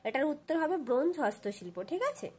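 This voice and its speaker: Female tutor, very high voice, walking school pupils through questions, often checking they follow. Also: very animated, brisk, a little mellow. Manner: instructional, frequent checking tag questions. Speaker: teacher.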